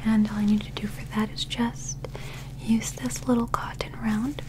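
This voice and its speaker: A young woman whispering gently and unhurriedly in an ASMR medical roleplay, playing an examiner close to the microphone. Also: a little high, level tone, moderate pace. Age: young adult. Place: small indoor set.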